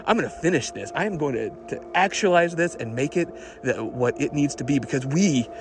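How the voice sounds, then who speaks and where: An actor playing an obsessed, increasingly unhinged car tinkerer recording a rambling, halting audio diary for an audio drama. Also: male voice, a little high, lively intonation, noisy background. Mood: obsessed, reverent. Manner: halting, nervous, repeating himself, muttering fixations. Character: obsessed man secretly lowering his car, increasingly unhinged.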